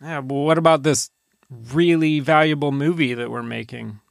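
Higher-pitched man bantering on a podcast. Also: steady tone, normal speed, gravelly, no room sound, studio quality. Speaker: podcast host. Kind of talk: casual comedic banter.

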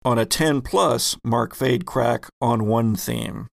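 A man acting as game master, explaining rules and narrating scenes in measured bursts. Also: mid-range voice, vocal fry, no room sound, clean studio sound.

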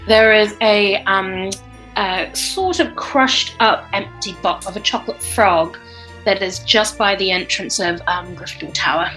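A woman improvising descriptive narration with hesitant, halting phrasing. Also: mid-range voice, pushed and bright, soft background music, rough recording. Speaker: improv comedy podcast performer.

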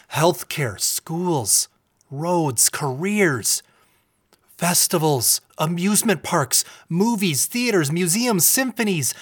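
A man's voice: on the high side, husky, full character voice. An actor playing a stubborn husband in an audio drama, defensive and insistent as he justifies himself and pleads his case. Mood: pleading, proud.

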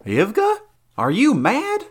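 A man's voice: extremely high pitch, huge pitch swings, slow pace, breathy edge, voice pushed up, exaggerated voice. A man voicing a desperate, loyal young male knight, speaking urgently and exclaiming.

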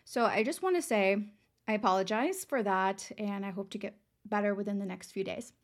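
A young adult woman from Texas hosting a casual motivational podcast, her voice raspy and worn, chatty and upbeat as she talks straight to her listeners. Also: medium pitch, a little fast. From San Antonio, Texas. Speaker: podcast host.

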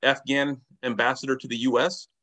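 Fast-talking male podcast host, mid-pitched, friendly, conversational. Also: level tone, a bit bright. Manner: brisk scripted intro, then friendly interjections. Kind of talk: podcast interview.

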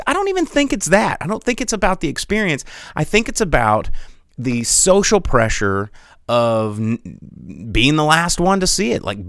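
A man hosting a casual solo podcast, rambling with many restarts and animated asides while he reads chat. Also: mid-range voice, clear, resonant tone, no room sound, clean studio sound. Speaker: podcast host.

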